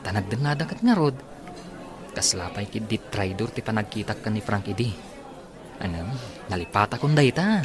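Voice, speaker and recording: A man's voice: fairly low pitch, lively intonation, moderate pace, pauses often, over music, crowd noise, lightly acted. An actor with an Ilocano Filipino accent playing an easygoing young working man in a radio drama. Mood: chatty.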